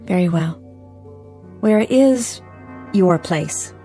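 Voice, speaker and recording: A woman's voice: on the low side, animated, very slow, warm tone, exaggerated voice. An actress in a radio drama playing a curious, innocent humanlike robot woman with a polite, literal manner. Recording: music behind.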